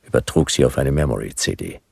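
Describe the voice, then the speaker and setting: An actor playing a laconic, world-weary private detective who narrates a noir radio drama in a gravelly, husky voice. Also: male voice, rumbling low, fairly quick, darkish tone, dry recording, exaggerated voice. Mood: dry, laconic. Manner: laconic, dry. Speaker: narrator and lead character.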